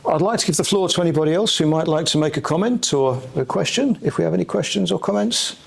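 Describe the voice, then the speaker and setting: A man's voice: fairly high pitch, fast talker, vocal fry, slight background noise. A man moderating a conference, speaking off the cuff with fillers and repeated words. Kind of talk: unscripted remarks and moderating. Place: conference room in parliament.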